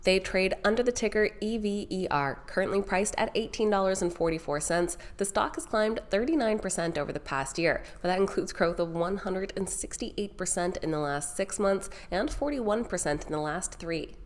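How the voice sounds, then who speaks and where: A woman's voice: mid-range voice, normal speed, hardly any pauses. A young woman hosting a stock market video, sounding nasal and congested from a sinus infection, presenting in an upbeat way that speaks straight to viewers. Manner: upbeat, direct address to viewers. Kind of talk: scripted market news presenting.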